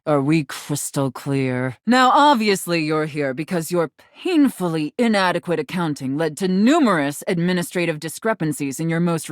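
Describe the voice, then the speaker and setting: A woman's voice: sits low, no echo, clean studio sound. A woman playing a haughty, domineering, condescending mistress in a video game, speaking with formal, imperious, disdainful diction. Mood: smug, threatening. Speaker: voice actor in a dating simulator game. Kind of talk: theatrical video game character acting.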